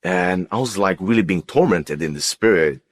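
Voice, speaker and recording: Very deep-voiced man giving testimony. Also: lively intonation, normal speed. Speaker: guest giving a personal testimony. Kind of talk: unscripted personal testimony.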